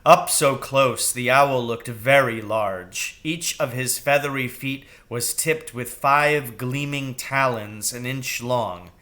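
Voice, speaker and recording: Bright-voiced man reading slowly. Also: medium pitch. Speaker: reader, teacher. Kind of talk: storybook narration read aloud.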